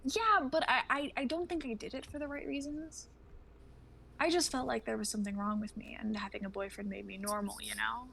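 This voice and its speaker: A woman's voice: fairly high pitch, fairly even intonation, a little fast, vocal fry, sharp tone, a little hiss or hum. A woman acting a regretful young woman confessing love to an old friend, earnest and hesitant, in a dramatic livestream reading. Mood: sad, confessional.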